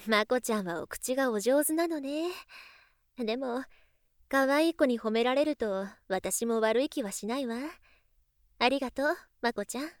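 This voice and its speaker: Actress as a refined, high-voiced, doting rich lady. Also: normal speed, smooth, dry recording, studio quality, lightly acted. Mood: gracious. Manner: polite, coaxing big-sister speech. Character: refined, gentle rich young lady with sly, doting streak. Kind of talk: Japanese voice drama acting.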